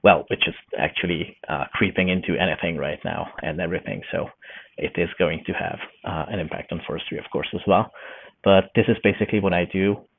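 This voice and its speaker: Middle-aged Hungarian man, low and gravelly, pauses to find words; dry, tinny call audio. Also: fairly even intonation, no echo. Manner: thoughtful, searching for words with many fillers. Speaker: podcast guest, newsletter founder. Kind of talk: casual interview talk.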